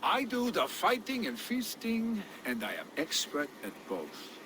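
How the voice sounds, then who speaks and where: An actor playing a carefree, boastful young Viking warrior, the son of a jarl, with a teasing, jovial manner. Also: male voice, high-pitched, huge pitch swings, unhurried, exaggerated voice. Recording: game cutscene with ambient noise.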